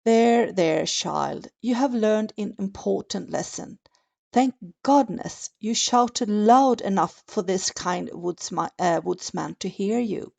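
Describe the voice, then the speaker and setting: A woman's voice: mid-range voice, animated, slow pace, gravelly, darkish tone, full character voice. Woman as a kindly, fussing old grandmother. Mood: soothing.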